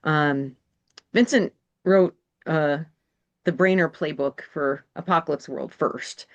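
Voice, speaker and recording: A woman with a lowish voice talks casually as a guest designer on a podcast. She wanders through her story, pausing often on ums and restarts. She grew up in New England. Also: lo-fi sound. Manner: rambling storyteller, many fillers. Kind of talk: casual conversation.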